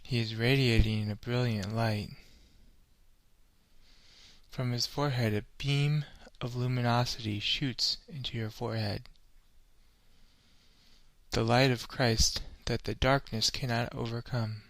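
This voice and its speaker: Male meditation guide, solemn and instructional, slow scripted delivery, dry sound. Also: mid-range voice, fairly even intonation, no room sound.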